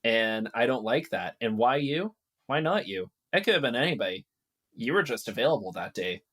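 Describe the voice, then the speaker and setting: A man's voice: medium pitch, expressive, normal speed, a bit bright. A man chatting casually and explaining ideas in informal, slangy language, acting out bits of dialogue. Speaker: podcast guest.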